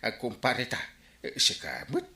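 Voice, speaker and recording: Blustering, excitable village captain. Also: male voice, fairly low pitch, moderate pace, echoey room, exaggerated voice. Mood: flustered, pleading. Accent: Ilocano. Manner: stammering, exclamatory. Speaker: actor in a comedy drama. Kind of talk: comedic radio drama acting.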